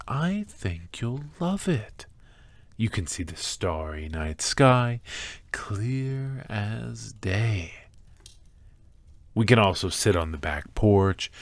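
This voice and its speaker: A man playing an obsessive, menacingly tender captor in an ASMR roleplay, speaking softly and intimately, often slipping into whispers. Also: fairly low pitch, creaky, dry recording, heavily acted. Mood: coaxing, romantic. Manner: soft, intimate, drawn-out, often dropping to whispers.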